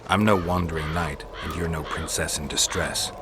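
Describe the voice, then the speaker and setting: A man playing a stoic, wry mercenary monster hunter in a fantasy video game, terse and deadpan. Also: extremely low pitch, moderate pace, background noise. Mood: dry, wry. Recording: music behind the voice.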